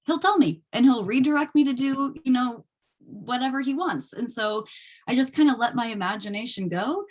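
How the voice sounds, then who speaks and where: A woman with a slightly high voice answers as a guest on a video-call panel. She is an actor reflecting on her work. Her delivery is informal and conversational. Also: lively intonation, normal speed. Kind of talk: casual, reflective interview answer.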